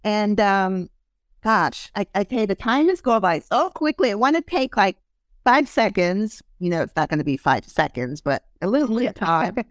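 A woman hosting a casual podcast interview, chatting along with plenty of ums and restarts. Her voice is smooth and clear at a middle pitch, close on the mic in a dry, dead room. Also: big pitch swings, normal speed, dry recording. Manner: chatty, many ums and fillers. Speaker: podcast host.

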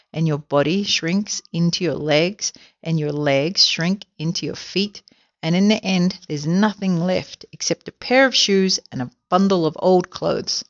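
A woman's voice: sits low, lo-fi sound. Woman doing a nasty, scheming, taunting husband. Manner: gleefully menacing, exclaiming. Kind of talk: children's storybook reading.

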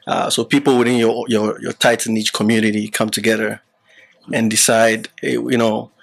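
A man's voice: lowish voice, little pitch movement, moderate pace, vocal fry. A man who grew up partly in Ghana, a podcast guest explaining things casually with many fillers and restarts. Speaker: podcast guest, app founder. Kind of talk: casual explanatory interview talk.

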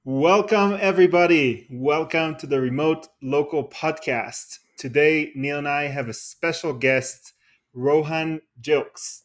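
A man's voice: on the high side, slow pace. A man opening a podcast, upbeat and welcoming as he introduces the guest. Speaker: podcast co-host. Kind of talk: show introduction.

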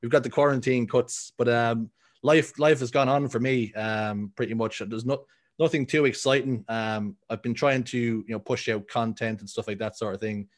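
A man's voice: medium pitch, fairly even intonation, moderate pace. A man of thirty with a Dublin Irish accent, chatting casually as an interview guest, rambling with many ums and restarts. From Ireland. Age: 30s. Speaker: guest, content creator.

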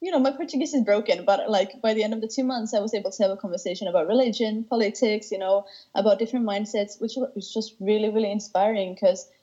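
A young woman from Finland chats as an interview guest, eager and warm in tone, often circling back to restart her sentences. Her voice is mellow and soft-edged, and the recording sounds slightly dull and muffled. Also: mid-range voice, fairly even intonation, fast, few pauses, husky. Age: 20s. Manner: enthusiastic, with frequent restarts and light laughs. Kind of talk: casual interview chat.

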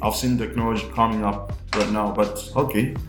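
A man's voice: lowish voice, fairly even intonation, moderate pace, music in the background. Man from Tanzania, emphatic, echoey room. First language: Swahili. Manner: repeats words and restarts, emphatic. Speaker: podcast guest, designer and musician. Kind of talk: casual podcast chat.

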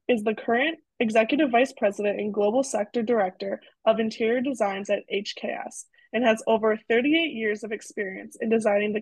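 A young woman co-hosting a student podcast, reading intros and asking quick, friendly questions. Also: fairly high pitch, husky, no room sound, low-quality recording.

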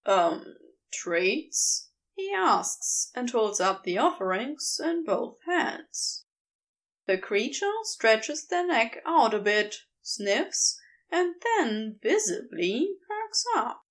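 A woman reading a fantasy story aloud, with unhurried and expressive storytelling. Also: fairly high pitch. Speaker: podfic reader. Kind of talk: scripted story narration.

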